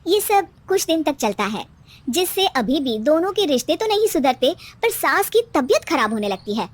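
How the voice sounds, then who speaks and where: A woman with a very high voice narrates a scripted animated tale. She delivers it as a storyteller would for a bedtime story. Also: normal speed.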